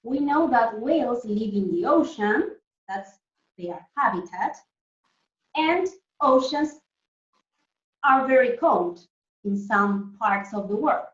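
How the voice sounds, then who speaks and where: A woman with a fairly high voice teaches young children in an interactive lesson. She explains in simple terms and keeps asking short check questions. The sound is echoey and somewhat muffled. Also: lively intonation, slow pace, long pauses, fairly bright tone. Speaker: primary school teacher leading an online lesson. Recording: echoey room, distant muffled mic.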